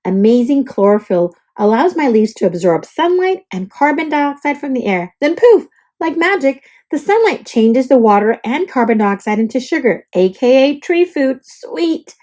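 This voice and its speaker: A woman's voice: sits high, animated, moderate pace, a little mellow. A woman voicing a perky, dramatic young oak tree telling its storybook diary, with theatrical exclamations. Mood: proud, giddy. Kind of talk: storybook diary read-aloud.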